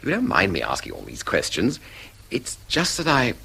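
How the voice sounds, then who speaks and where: A man's voice: low-pitched, huge pitch swings, normal speed, gravelly, pushed and bright, breathy edge, full character voice, low-quality recording. A man with an English accent playing a courteous, jaded, inquisitive traveller in a radio drama, musing and politely probing. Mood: curious, admiring. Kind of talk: British radio drama acting.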